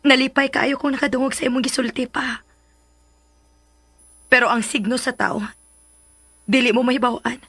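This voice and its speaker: A woman's voice: high-pitched, flat delivery, normal speed, pauses often, a bit bright, breathy edge, dry recording, exaggerated voice. A woman playing a hurt, resolute young woman in a radio drama, speaking firmly. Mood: earnest.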